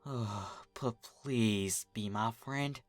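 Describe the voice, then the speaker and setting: A narrator voicing an anxious, humble female farm pony who speaks in a halting, folksy way, in a cartoon fan story. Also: medium pitch, dry recording, soft background music. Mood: nervous, resigned.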